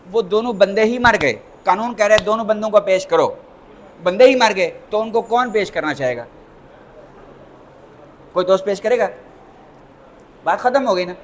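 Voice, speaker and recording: Male lecturer, Pakistani accent, high-pitched, didactic and repetitive with rhetorical questions, faint background noise. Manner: didactic, repeats points and asks rhetorical questions. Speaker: lawyer giving a lecture. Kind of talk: interactive law lecture mixing in English terms.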